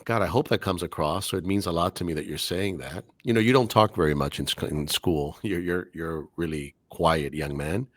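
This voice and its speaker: A man's voice: deep voice, fairly quick, few pauses, dry recording, clean studio sound. A middle-aged man, a native Spanish speaker and English teacher, speaking articulately with careful diction in a casual podcast interview.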